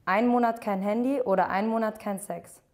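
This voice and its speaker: A woman interviewer firing off quick, teasing questions in a studio. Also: medium pitch, a little mellow. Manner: brisk, teasing question delivery.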